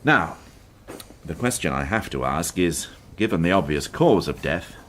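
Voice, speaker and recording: A man's voice: low-pitched, expressive, unhurried, fairly bright tone, slight background noise. An actor playing a wry, impatient male police inspector in a radio drama, dry and sardonic with his juniors. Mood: businesslike.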